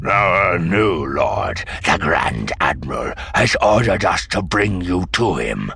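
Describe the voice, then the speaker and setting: A stern alien clan elder in an audiobook story. The voice is very low, forceful and gravelly, delivering commands slowly with contempt and menace. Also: male voice, big pitch swings, exaggerated voice. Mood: cold, commanding. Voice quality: gravelly snarl.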